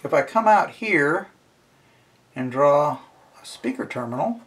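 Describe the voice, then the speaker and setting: A man's voice: on the high side, lively intonation, measured pace, a bit bright. A middle-aged man giving a casual hands-on tutorial, informally thinking aloud as he talks himself through each step. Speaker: instructor. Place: indoors at a wooden workbench.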